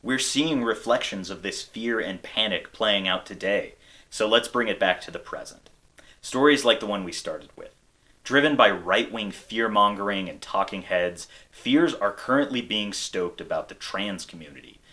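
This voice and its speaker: A man's voice: lowish voice, lively intonation, moderate pace, bright, forceful tone. An American man hosting a video essay, reading scripted narration. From United States.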